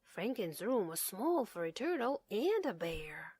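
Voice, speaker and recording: A woman's voice: mid-range voice, very animated, a little slow, a little mellow, breathy edge, dry recording, clean studio sound. A woman narrating a children's story as a read-aloud. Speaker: storybook reader.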